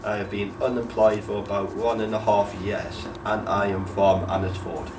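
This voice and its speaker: A young man in his twenties speaks with a fairly low voice. He talks plainly and hesitates now and then with fillers. The mic sits at a distance, with a steady noise behind him. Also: normal speed. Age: 20s. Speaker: interviewee. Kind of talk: casual interview answers.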